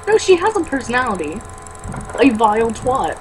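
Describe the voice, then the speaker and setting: A woman's voice: fairly high pitch, sing-song, moderate pace, background noise. A woman reading a novel aloud as an amateur, tripping over words now and then and breaking off for blunt, mocking, casual commentary. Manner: stumbles over words when reading, blunt and sweary in asides.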